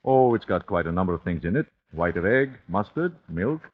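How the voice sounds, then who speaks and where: A man's voice: low-pitched, big pitch swings, brisk. An actor playing an older, formal, coldly polite father bent on vengeance in an old-time radio thriller. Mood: calm, precise. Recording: muffled old radio broadcast, phone-like.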